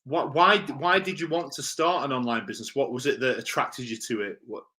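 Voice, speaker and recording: A man's voice: mid-range voice, fairly even intonation, a little fast, bright, forceful tone. A man hosting a live-streamed interview, chatty and upbeat, who laughs often. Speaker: podcast host. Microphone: video call.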